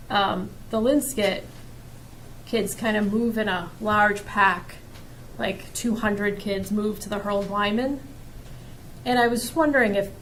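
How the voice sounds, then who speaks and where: A woman's voice: mid-range voice, slight background noise, poor audio. A woman committee member asking questions, thinking aloud and checking understanding as she goes. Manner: thinking aloud, seeking confirmation.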